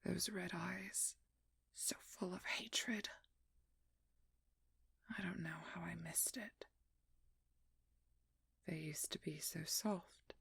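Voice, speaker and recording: A woman playing a determined, wounded vampire hunter in an ASMR roleplay, with a breathy, husky voice that often drops near a whisper and an intimate, intense delivery. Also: fairly low pitch, fairly even intonation, slow pace, pauses often, fairly bright tone, no echo, full character voice. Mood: betrayed, sorrowful. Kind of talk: ASMR roleplay audio drama.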